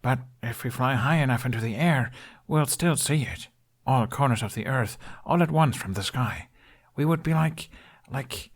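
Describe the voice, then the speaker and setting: An earnest young storybook prince, acted out by a man, dreamy and hopeful. His words come eagerly, as if he is searching for the next one, and his pitch sits a little above the narrator's low register. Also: moderate pace, lightly acted. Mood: excited.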